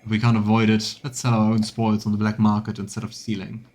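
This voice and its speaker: A man voicing a firm, principled war councillor in a fantasy game, speaking in a measured, disapproving manner. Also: fairly low pitch, completely flat delivery, a little mellow, voice pushed down, lightly acted.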